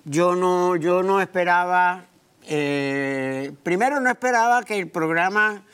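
A man from Puerto Rico, a comedian guest, telling stories in a rambling, halting way with many restarts. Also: high voice, a bit bright, clean tone. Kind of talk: casual interview chat.

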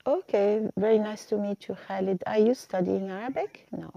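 A woman's voice: mid-range voice, moderate pace, gravelly, dark tone, no echo, highs cut off. A woman hosting a casual live chat, a friendly and patient Arabic teacher who helps guests find their words.

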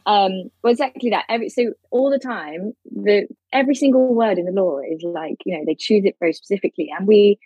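A woman's voice: mid-range voice, fairly quick, few pauses, dark tone, slightly rough, no room sound, highs cut off. A woman speaking as a legal expert guest, explaining things informally and plainly with everyday examples.

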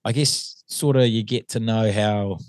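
A New Zealand man with a fairly low, slightly hoarse voice, chatting as a guest. He hesitates a lot, with ums and false starts. The sound is dry and close, with no room around it. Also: measured pace, dry recording. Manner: hesitant, many ums and restarts. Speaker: assistant rugby coach, guest. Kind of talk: casual interview chat.

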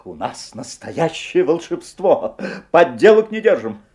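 An actor playing a mysterious magic-shop salesman in a 1970s radio play, showy and slyly courteous, with unctuous patter and a husky voice. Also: fairly high pitch, sing-song, measured pace, darkish tone, exaggerated voice. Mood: smooth assurance.

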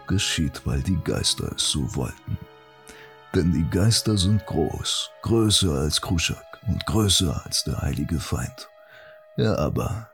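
A man voicing an orc shaman who gravely recites a dark, ancient prophecy in a measured, portentous audiobook style. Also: deep voice, heavily acted. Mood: solemn. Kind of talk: solemn scripted fantasy audiobook recitation.

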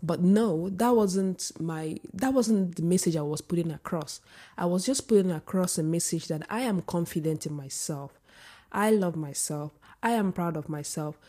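A woman with a husky voice giving an opinionated vlog monologue, often restarting sentences and rising into emphatic outbursts. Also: on the low side, normal speed, mellow. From Sweden. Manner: many restarts and filler words. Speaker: vlogger. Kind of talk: casual opinion monologue to camera.

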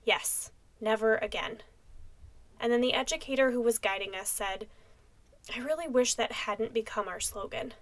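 A woman's voice: a little high, a little fast. A young American woman hosting a podcast, reading scripted narration. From United States. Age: young adult. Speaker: podcast host.

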